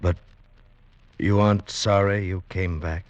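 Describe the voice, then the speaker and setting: An actor playing a concerned, kindly friend with a grave, solicitous way of talking in a 1940s radio drama. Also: male voice, deep voice, fairly even intonation, unhurried. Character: concerned, kindly male friend of a weary traveller. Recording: muffled vintage radio recording.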